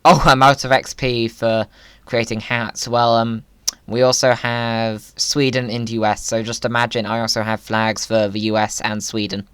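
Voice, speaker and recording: A man narrates his gameplay with silly, whimsical humour. His voice is smooth and clean, recorded close and dry. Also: mid-range voice, little pitch movement, normal speed, a bit bright, dry recording. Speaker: video creator, gamer. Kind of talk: playful gaming commentary.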